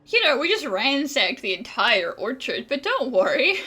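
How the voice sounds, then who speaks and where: A woman's voice: sits high, sing-song, a little slow, sharp tone, husky, soft background music. A woman giving casual, playful, giggly let's-play commentary as host of a gaming video.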